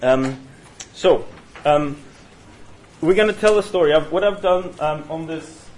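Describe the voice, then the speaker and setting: A man with a Norwegian accent leading a university study session, talking in a halting way with many fillers and restarts. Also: fairly high pitch, darkish tone, slight background noise, low-quality recording. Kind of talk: university seminar lecture. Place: university room. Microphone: single room mic.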